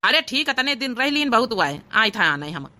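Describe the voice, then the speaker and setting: A woman voicing a practical, brisk village elder brother in a homemade comedy cartoon, with an Awadhi accent and a matter-of-fact, hurrying manner. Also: a little high, big pitch swings, bright, forceful tone, voice pushed down, full character voice.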